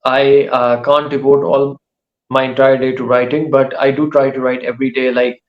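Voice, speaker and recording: A male author, whose first languages are Urdu and Saraiki, giving casual interview answers in a thoughtful way that pauses often mid-sentence. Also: mid-range voice, level tone, muffled recording. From Pakistan. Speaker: author and writing teacher.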